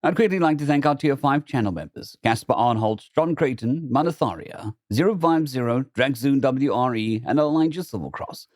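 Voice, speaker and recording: A man's voice: medium pitch, lively intonation, moderate pace, hardly any pauses, darkish tone, no room sound, studio quality. A man reading a scripted science fiction story as its narrator.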